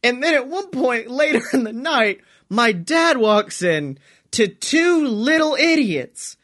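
A man with a high, forceful voice chats casually as a podcast co-host. He is excitable and giggly, swears freely and spins comic stories. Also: takes it slowly. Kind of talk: casual comedic chat and storytelling.

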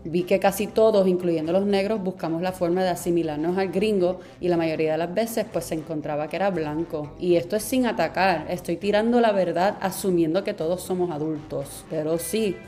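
A young woman from Puerto Rico with a Puerto Rican accent, telling stories in a casual, animated vlog monologue and dropping English phrases into her talk. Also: lowish voice, fairly quick, few pauses, faint music behind. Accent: Puerto Rican Spanish. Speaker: vlogger.

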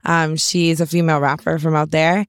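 A young woman with a Canadian accent and a raspy voice, chatting casually as an interview guest in a studio. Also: deep voice, level tone, moderate pace, no room sound. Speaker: interview guest, rapper and songwriter. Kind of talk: casual chat.

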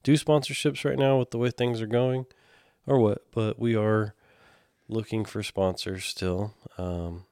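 A man hosts a podcast in relaxed, casual chat, often hesitant and trailing off with fillers. His voice sits very low, and the sound is dry and close to the mic. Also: unhurried, clear, resonant tone, dry recording. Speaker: podcast host, mechanic.

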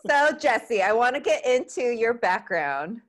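Animated woman podcast host, high-pitched. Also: a little slow. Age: 30s. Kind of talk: casual chat interview.

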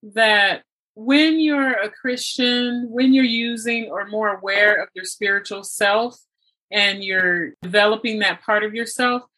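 A woman's voice: mid-range voice, a little slow, bright, forceful tone, low-quality recording. A woman hosting a conversational interview about faith, speaking in an affirming, agreeable way. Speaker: host interviewing a guest.

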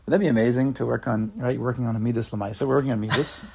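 A man leading a casual religious class among friends, animated and joking, often repeating his words. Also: medium pitch, very fast, creaky, slightly rough. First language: not Hebrew. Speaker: class leader. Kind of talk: casual Torah class chat.

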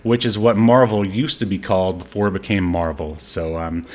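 A man's voice: deep voice, steady tone, normal speed, darkish tone. A man hosting a podcast in casual chat, rambling and joking with frequent hesitations. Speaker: podcast host. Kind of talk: casual chat about an old superhero movie.

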